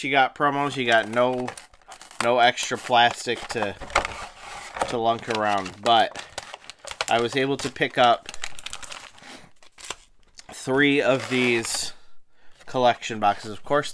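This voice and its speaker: Slightly hoarse man chatting casually. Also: mid-range voice, background noise. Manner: chatty, restarts phrases, laughs often. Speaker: card-opening channel host. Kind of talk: casual unboxing chat. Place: indoors at a table, hands on camera.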